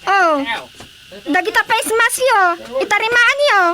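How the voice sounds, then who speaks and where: A woman playing a fussy, officious daughter and village secretary in a comedic radio drama, with an Ilocano Filipino accent and a brisk, scolding manner. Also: extremely high pitch. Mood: bossy, officious. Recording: crowd noise behind.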